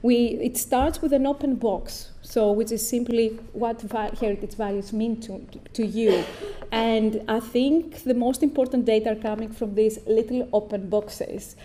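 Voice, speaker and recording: A woman in her thirties with a Greek accent giving a conference presentation as an academic, explaining in a halting, self-correcting way. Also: on the high side, steady tone, dark tone, a little hiss or hum. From Greece. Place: indoor stone-walled venue, at a lectern. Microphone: lectern microphone.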